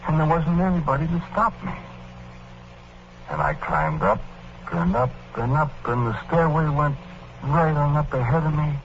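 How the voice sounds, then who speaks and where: An American man playing the calmly menacing ghost of a gangster in 1940s radio style, deliberate and colloquial. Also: mid-range voice, gravelly, a little hiss or hum, heavily acted. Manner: deliberate, colloquial tough-guy talk. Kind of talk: 1940s radio acting, first-person narration. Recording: dull vintage radio recording, music behind.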